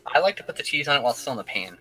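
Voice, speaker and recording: A man's voice: mid-range voice, very animated, very fast, pushed and bright, poor audio. A man who co-hosts a geek chat, talking casually and jokily, swearing freely as he explains things. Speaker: co-host of a weekly geek chat show. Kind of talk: casual joking group chat.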